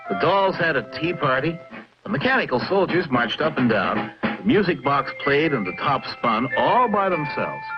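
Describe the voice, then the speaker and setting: A man's voice: a little high, sing-song, moderate pace. A man narrating a children's story in a conversational storytelling manner, speaking to young listeners, on a dull lo-fi soundtrack with music.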